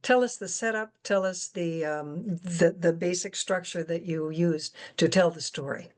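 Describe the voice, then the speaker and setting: A woman's voice: fairly low pitch, a little slow. An older American woman interviewing a guest as moderator in a casual, conversational way.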